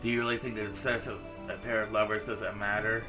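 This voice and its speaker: A man's voice: fairly low pitch, little pitch movement, fast. A man reading visual novel dialogue aloud as a game streamer, in a casual, informal manner. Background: visual novel game music.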